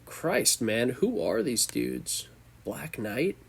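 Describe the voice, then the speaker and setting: A man's voice: mid-range voice, very animated, very slow, creaky, breathy edge. A young man with a congested voice, hosting a casual, off-the-cuff tier-list commentary and thinking aloud as he goes. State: congested from a cold. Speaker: host.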